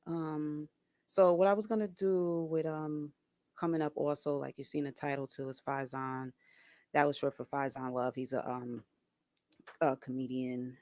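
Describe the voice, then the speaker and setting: A soft-voiced man talking casually to camera, hesitant, with many ums and restarts. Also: on the high side, level tone, moderate pace, no room sound. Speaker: video creator.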